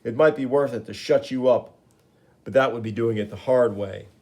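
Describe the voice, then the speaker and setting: A man's voice: mid-range voice, normal speed, darkish tone, close to an everyday voice. A man doing a wisecracking young superhero. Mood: wry. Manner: breezy, sarcastic quips.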